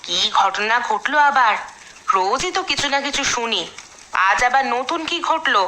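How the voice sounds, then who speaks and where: A woman's voice: fairly high pitch, animated, normal speed, slight background noise, poor audio. A woman playing a concerned, moralizing village mother in a cartoon, with a reproving manner. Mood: questioning. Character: worried, moralizing village mother of a grown son. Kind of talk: cartoon voice acting. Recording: loud music under the voice.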